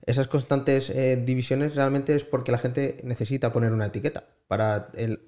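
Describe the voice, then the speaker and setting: A man's voice: medium pitch, level tone, normal speed, darkish tone. A man co-hosting a podcast, talking earnestly in casual conversation and building his points in lists.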